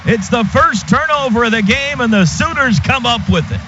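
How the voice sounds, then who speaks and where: A man's voice: extremely high pitch, animated, normal speed, bright, forceful tone. A man doing live football play-by-play on radio in clipped, punchy phrases. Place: radio booth at a football stadium. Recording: dull lo-fi radio broadcast, clear stadium noise.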